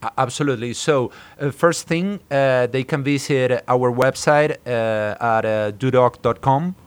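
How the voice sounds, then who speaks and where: Halting man from Argentina in an interview. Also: medium pitch, smooth. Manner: halting, hesitant phrasing with repeated words. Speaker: startup co-founder being interviewed. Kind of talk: interview answers.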